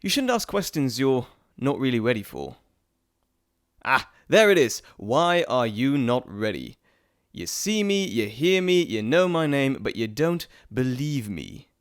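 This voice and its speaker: A playful trickster god , acted out by a man, speaks straight to the listener in an audio roleplay. He is chatty and theatrical, rambling and teasing, with a mid-pitched male voice recorded close and dry. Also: sing-song, a little slow, clear, resonant tone, no room sound. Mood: coaxing, amused.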